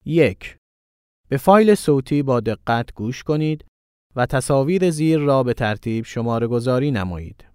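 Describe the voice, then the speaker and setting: Guy rattling off exam instructions super fast, smooth crisp voice. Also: medium pitch, animated, a little mellow, dry recording. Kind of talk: formal read instructions.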